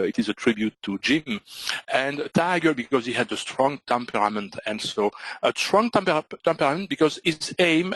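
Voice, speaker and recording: A man from Belgium with a Belgian French accent, presenting a trading webinar in halting English with many "uh" and "so" fillers. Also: medium pitch, a bit bright, no echo, poor audio. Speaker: trading instructor.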